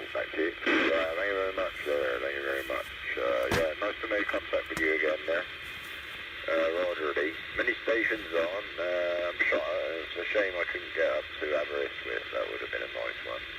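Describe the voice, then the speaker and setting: A British man chatting informally with another operator over CB radio. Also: rumbling low. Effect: heard over CB radio. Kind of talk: informal radio contact chat. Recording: very noisy, distant CB radio reception.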